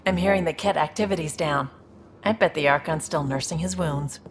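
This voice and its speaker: A woman playing a tough, streetwise alien smuggler with a soft spot in a video game, frank and wry, with a gravelly voice. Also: brisk, slight background noise, close to an everyday voice. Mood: businesslike. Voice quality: low, gravelly.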